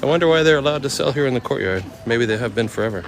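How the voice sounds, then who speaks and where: A man's voice: medium pitch, lively intonation, very fast, creaky, slight background noise. Laid-back man chatting on a walk. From Oakland, California. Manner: easygoing, chatty, laughs often, reads out viewer comments. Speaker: vlogger answering live chat. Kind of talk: casual live-stream walk and chat. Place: outdoors at a city temple courtyard.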